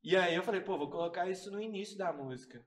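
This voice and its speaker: A man's voice: sits high, fast talker, distant mic. A man from Brazil, a band member, telling stories in a laid-back, slangy way in a casual podcast interview. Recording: echoey room, off-mic.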